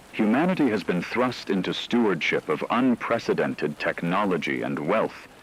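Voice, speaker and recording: A man playing a grave radio news anchor in a world collapse, reading scripted news in a solemn, formal, measured way. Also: sits low, a little hiss or hum, exaggerated voice, poor audio. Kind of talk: scripted dramatic news broadcast narration.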